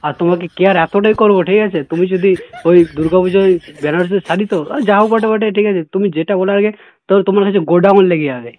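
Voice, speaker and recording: A man's voice: sits high, steady tone, fast. A man sweet-talking and coaxing on a prank phone call, often checking in with the listener. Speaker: prank caller. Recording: dull lo-fi call recording.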